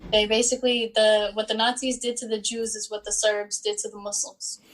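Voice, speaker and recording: A woman in her twenties, born in Bosnia and Herzegovina, answering interview questions in an unhurried way with many pauses and fillers. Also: mid-range voice, little pitch movement, low-quality recording. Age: 20s. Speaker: guest, student athlete.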